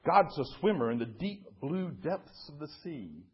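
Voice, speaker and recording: A man's voice: a little high, sing-song, measured pace, husky, highs cut off, pitched above the performer's own voice, full character voice. A man voicing quarrelling animals and forces of nature in a storybook, emphatic and declamatory. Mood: earnest.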